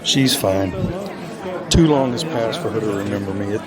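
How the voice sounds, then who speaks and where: A man's voice: fairly low pitch, normal speed, a loud crowd, close to an everyday voice. An actor voicing Larry, a grieving widower and nervous father who hesitates and stammers. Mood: anxious. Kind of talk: audio drama acting.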